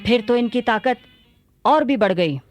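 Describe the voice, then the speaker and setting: A woman's voice: a little high, lively intonation, measured pace, dry recording, soft background music. A woman acting a bold, commanding young warrior sultana in a radio drama. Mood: determined.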